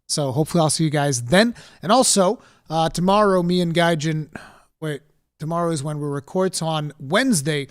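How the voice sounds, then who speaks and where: Chatty streamer from Portugal. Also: male voice, fairly high pitch, dry recording, studio quality. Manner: excited, chatty, thanks viewers with a set phrase. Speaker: game livestream host. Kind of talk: casual live commentary.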